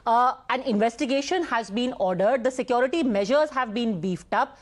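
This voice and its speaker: Woman, high pitch, news anchor, persistent pointed questions, formal delivery. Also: moderate pace. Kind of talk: pressing news interview.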